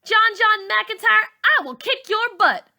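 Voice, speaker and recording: A woman voicing a brash, bossy teenage girl bully in a storybook, loud and snappy, with mocking slang. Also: extremely high pitch, animated, a little slow, creaky, voice pushed up, heavily acted. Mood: angry.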